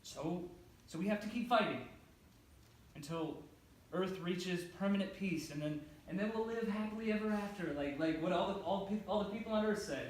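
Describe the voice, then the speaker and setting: Devoted, anxious soulmate played by a man, halting delivery, staged reading, heavy room echo and faint noise. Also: sits high, close to an everyday voice. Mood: urgent, pleading. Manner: halting, stammering, repeats words. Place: indoor stage, recorded from a distance. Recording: echoey, noisy room from far off.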